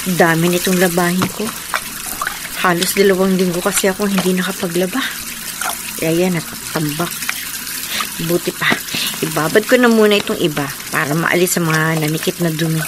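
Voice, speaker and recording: A woman's voice: lowish voice, steady tone, normal speed, pauses often, very noisy, close to an everyday voice. A woman playing a dutiful, anxious young married daughter in a horror radio drama, speaking expressively. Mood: busy, matter-of-fact.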